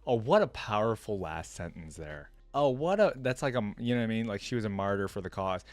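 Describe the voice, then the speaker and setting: Male reaction host, lowish voice, informal and colloquial delivery between storytelling and off-the-cuff commentary, very little room sound. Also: big pitch swings, brisk, no echo. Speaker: reaction video host reading a story aloud. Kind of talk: reading a fantasy story aloud with casual off-the-cuff commentary.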